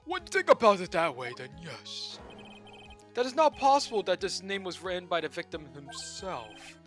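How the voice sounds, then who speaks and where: Young male game streamer, joking and excitable, slightly high voice with a sharp, bright tone. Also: normal speed, soft background music. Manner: excitable, joking, swings between shouting and muttering. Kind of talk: casual gameplay commentary.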